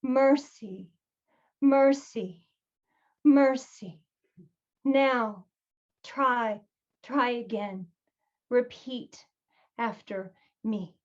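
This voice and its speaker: A woman poet giving a dramatic poetry reading in an emphatic, pleading way, with pauses between short phrases. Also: on the high side, dull audio.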